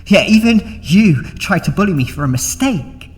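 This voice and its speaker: A man's voice: high-pitched, animated, normal speed. An actor playing an obsessive, wounded young man in an audio drama, pleading and faltering with emotion. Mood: wounded anger. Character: obsessive, needy, wounded young male hanger-on. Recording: long echoing reverb, music behind.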